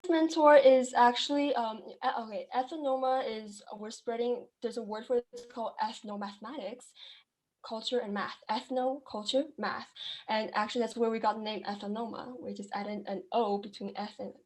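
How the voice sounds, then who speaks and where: A woman's voice: fairly high pitch, steady tone, normal speed, a little mellow. A young woman from China, raised in Kenya, answering interview questions in a casual, chatty way with many fillers and restarts. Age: young adult. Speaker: guest entrepreneur.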